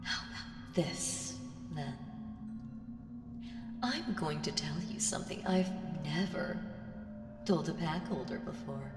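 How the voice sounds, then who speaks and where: A woman voicing an ancient evil entity in an ASMR audio roleplay, smug, seductive and condescending, with a teasing delivery that slips into breathy whispers. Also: low voice, lively intonation, slow pace, pauses often, exaggerated voice. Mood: playful scheming. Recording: echoey hall reverb, distant, music behind.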